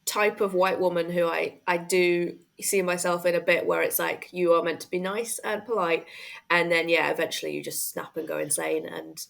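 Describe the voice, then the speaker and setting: A woman's voice: fairly low pitch, fairly even intonation, moderate pace, gravelly, a bit bright. A woman comedian guest chatting casually on a podcast, wry and self-deprecating.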